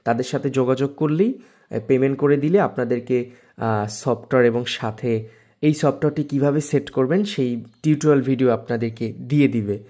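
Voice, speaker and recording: A man's voice: medium pitch, a little fast, warm tone. A man with a Bangladeshi accent hosting a casual tech tutorial and promoting a product. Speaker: tech video host.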